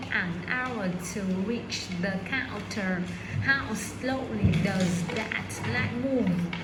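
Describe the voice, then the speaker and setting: A woman learning English reads practice questions and answers aloud, haltingly, often repeating words and restarting phrases. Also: medium pitch. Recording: echoey room with clear background noise.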